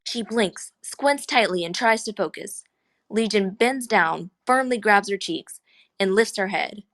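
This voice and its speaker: A woman's voice: mid-range voice, lively intonation, measured pace, fairly bright tone. A woman reading scripted screenplay action lines as the narrator of a table read.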